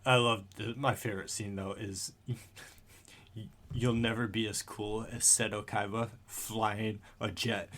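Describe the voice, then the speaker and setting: Bright-voiced man swapping fan stories. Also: on the low side, little pitch movement, a little slow. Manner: swears freely, slangy; laughs often while talking. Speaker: podcast co-host. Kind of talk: casual chat, animated fan retelling.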